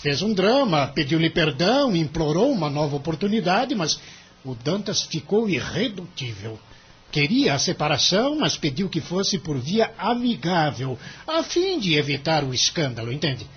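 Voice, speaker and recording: A Brazilian actor playing a cynical, money-minded senior lawyer in an audio drama, emphatic and condescending. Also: male voice, a little high, big pitch swings, normal speed, husky, lo-fi sound, heavily acted. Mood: grave, gossipy. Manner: emphatic, condescending, theatrical delivery.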